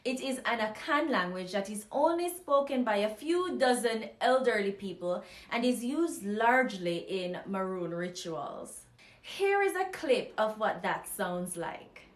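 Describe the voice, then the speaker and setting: A woman with a Jamaican accent who teaches language and explains things in a clear, measured way. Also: medium pitch, animated, roomy sound. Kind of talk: explanatory lesson.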